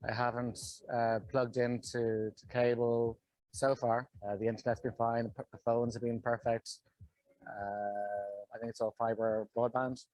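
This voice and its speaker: An Irish man speaks casually, as in a video testimonial. His delivery is even and hesitant, in short phrases, and the recording is dry. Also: medium pitch, a little slow, no room sound, rough recording. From Ireland. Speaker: digital nomad giving a testimonial.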